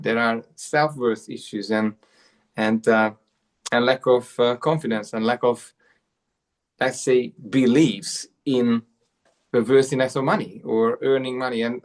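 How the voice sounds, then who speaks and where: A man in his forties from Hungary, a coach telling his life story as an interview guest, with frequent fillers and repeated words. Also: medium pitch. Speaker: guest, self-worth coach.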